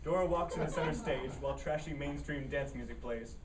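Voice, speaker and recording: A man's voice: fairly high pitch, brisk, natural voice. A man playing the matter-of-fact narrator of a comic play, reading scripted stage directions. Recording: distant mic, faint room noise.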